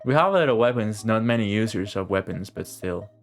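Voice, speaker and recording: Low-pitched man rambling through a game stream. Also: lively intonation, brisk, faint music behind. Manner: rambling, repeats words, casual swearing. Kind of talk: casual live gameplay commentary.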